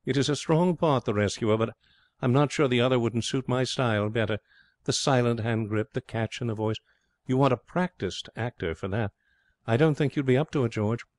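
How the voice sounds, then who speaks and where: A man's voice: medium pitch, moderate pace, darkish tone, no echo, voice pushed up, close to an everyday voice. A man voicing a breezy, self-satisfied young schemer in a comic story, florid and cajoling with cheerful pomposity. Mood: pompous, agreeable. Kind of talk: storybook character voice in comic fiction reading.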